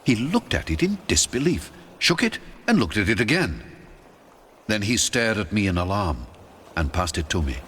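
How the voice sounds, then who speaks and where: Lively, theatrical male audiobook narrator. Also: on the low side, normal speed, slight background noise. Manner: theatrical, storytelling. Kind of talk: dramatic scripted audiobook narration.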